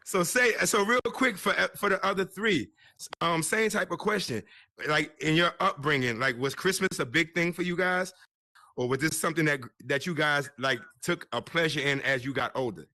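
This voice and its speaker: A man's voice: on the high side, moderate pace, pushed and bright, dry recording. A man hosting a casual group chat, slangy and joking as he asks each guest questions. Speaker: host.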